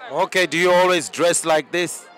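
High-pitched male interviewer at a festival. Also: fairly even intonation, normal speed, a bit bright. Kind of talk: short vox pop questions. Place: outdoor festival. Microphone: handheld interview microphone. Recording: music and crowd behind.

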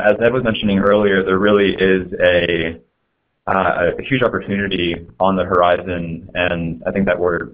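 Very deep, flat-voiced man on a phone line. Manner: halting, many fillers and restarts. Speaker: webinar panelist. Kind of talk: webinar presentation.

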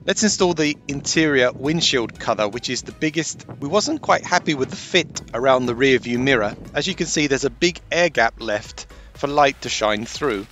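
A man giving a steady, explanatory voice-over for a van life how-to video. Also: mid-range voice, moderate pace, soft background music. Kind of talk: scripted voice-over narration. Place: based in Sweden.

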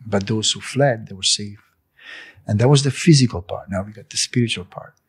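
A man's voice: on the low side, brisk, slightly rough. A man in his sixties whose first language is not English, speaking emphatically and preachily in an interview in a living room into a handheld mic. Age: 60s. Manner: preachy, emphatic, gestures with hands. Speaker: cafe owner interviewed. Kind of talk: impassioned interview monologue. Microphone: handheld fluffy interview mic.

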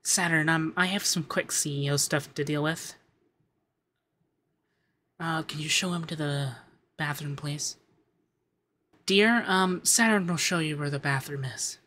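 Soft, unhurried rich femboy acted by a man. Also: on the high side, slight background noise. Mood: affectionate, flirty. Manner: soft-spoken, giggly, affectionate, hesitant fillers. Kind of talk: ASMR roleplay.

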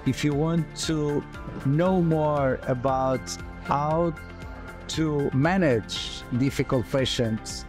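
A middle-aged man speaking in measured, halting phrases as he presents an event to camera like an interviewer. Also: a little high. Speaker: doctor, interviewer. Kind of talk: promotional interview, addressing camera. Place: large open hospital lobby, indoors, seated on sofa. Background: background music.

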